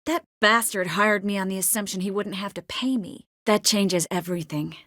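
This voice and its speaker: Woman acting a young video game sorceress, mid-pitched, earnest, caring, wry, composed, close dry sound. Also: fairly even intonation, normal speed, a bit bright, no room sound, lightly acted. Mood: serious, determined.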